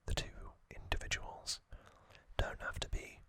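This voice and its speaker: A man hosting ASMR, whispering trivia narration in a breathy, unvoiced voice with soothing, deliberate short phrases. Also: sharp tone, no echo. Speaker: ASMR host. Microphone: close microphone.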